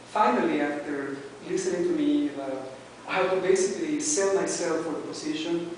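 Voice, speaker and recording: A man with a Mexican accent, his first language Spanish, gives a halting prepared speech to a club, pausing often on fillers. His voice sits a little high for a man. He is recorded from across a very echoey meeting room, with a faint hiss underneath. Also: far from the mic. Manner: hesitant, many "uh"s and restarts. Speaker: club member giving a speech.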